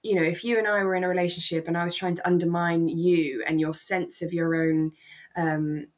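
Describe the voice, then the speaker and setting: A woman's voice: lowish voice, flat delivery, brisk. A woman co-hosting a podcast, talking casually and reflectively as she explains her take on a radio drama. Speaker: podcast co-host.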